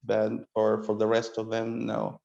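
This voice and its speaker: A man's voice: fairly low pitch, monotone, a little slow, gravelly, darkish tone, echoey room, dull audio. A man with a Latin American Spanish accent, a podcast guest explaining in casual talk, with many fillers and restarts. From South America, Peru and Argentina. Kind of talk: casual interview.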